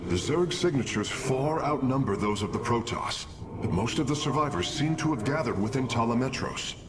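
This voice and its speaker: A man playing a dutiful, measured alien officer in a game, formal and respectful as he reports. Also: mid-range voice, expressive, close to an everyday voice. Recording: game audio with vehicle-like background noise.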